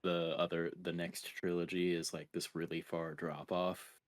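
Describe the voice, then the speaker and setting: A man's voice: deep voice, flat delivery, normal speed, no room sound. A man co-hosting a podcast, giving his opinions on video games in informal, conversational talk. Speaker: podcast co-host. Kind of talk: casual video game podcast chat.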